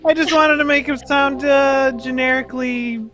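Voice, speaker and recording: A man's voice: very high-pitched, steady tone, normal speed, pushed and bright. A man chatting casually and playfully in a group of friends.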